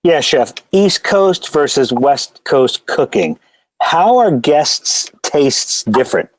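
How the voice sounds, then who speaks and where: A man's voice: fairly high pitch, big pitch swings, slow, no room sound. A man acting as interviewer, reading out questions to a chef. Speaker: off-camera interviewer.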